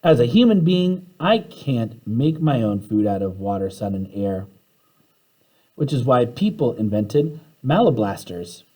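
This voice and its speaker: Man, children's read-aloud, very lively intonation, husky voice, animated storyteller. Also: medium pitch, a little slow, rough recording. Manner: animated, acts out the characters' dialogue.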